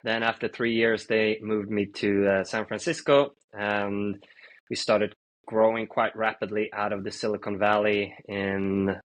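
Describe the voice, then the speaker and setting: Male guest, 30s, from Sweden, low-pitched, relaxed storyteller with lots of "uh"s. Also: low-quality recording. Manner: relaxed storytelling with frequent "uh" pauses. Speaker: guest, fintech founder. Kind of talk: casual interview answers.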